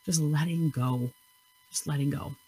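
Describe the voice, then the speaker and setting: Woman, 30s, solo podcast host; low husky voice, chatty and giggly, loose and unscripted. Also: a little slow, mellow. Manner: chatty, giggly, self-correcting.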